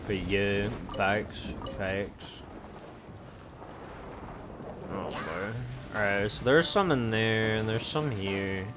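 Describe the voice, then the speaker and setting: A man's voice: fairly low pitch, lively intonation, slow pace, background noise. A man giving casual gameplay commentary, rambling and thinking aloud as he plays. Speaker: game streamer. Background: video game music.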